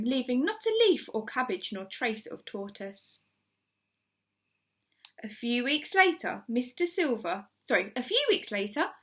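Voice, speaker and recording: A woman reading a children's story aloud like a primary school teacher, with expressive narration. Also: a little high.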